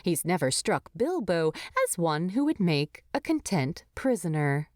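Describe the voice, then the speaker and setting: A woman narrates a scripted story. Her voice sits a little low and moves with animated intonation. The recording is dry and close. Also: measured pace, no echo. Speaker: fan fiction narrator.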